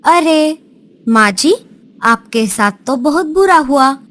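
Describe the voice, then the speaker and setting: A woman voicing a friendly, excitable female bird in a cartoon, with drawn-out, expressive exclamations. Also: high voice, full character voice. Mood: exclaiming, eager. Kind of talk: Hindi cartoon character voice. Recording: noisy background.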